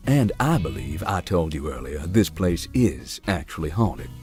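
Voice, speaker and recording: A performer voicing a calm, guarded, deadpan believer in ghosts in a visual novel, speaking tersely. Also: sits low, unhurried, soft background music. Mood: matter-of-fact.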